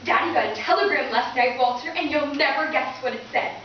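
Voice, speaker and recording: A woman's voice: high-pitched, brisk, highs cut off, close to an everyday voice. A woman playing a perky, gushing teenage schoolgirl in old-time radio comedy style. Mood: cheerful excited. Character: gushing, wholesome teenage schoolgirl, principal's daughter. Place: live stage performance in a reverberant room. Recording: echoey distant stage recording, faint noise.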